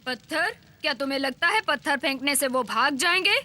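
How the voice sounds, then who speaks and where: A woman's voice: sits high, lively intonation, brisk, bright, forceful tone, a little hiss or hum. An actress voicing a plucky female adventurer in a Hindi cartoon dub. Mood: urgent.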